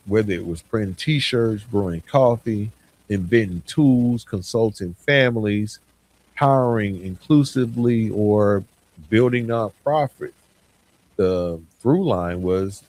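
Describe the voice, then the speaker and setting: Guy with a lowish voice hosting a solo podcast, earnest motivational reflection, punchy repeated phrases. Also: a little mellow, slightly rough, low-quality recording. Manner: earnest, motivational, emphatic short parallel phrases. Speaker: podcast host. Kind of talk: reflective solo podcast monologue, partly scripted.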